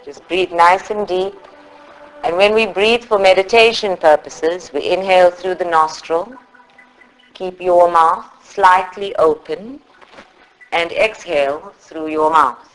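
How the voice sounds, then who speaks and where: A woman from South Africa leading a guided meditation in a soothing, instructive manner, with long pauses between short phrases. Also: fairly low pitch, steady tone, low-quality recording. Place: live group meditation session at a centre.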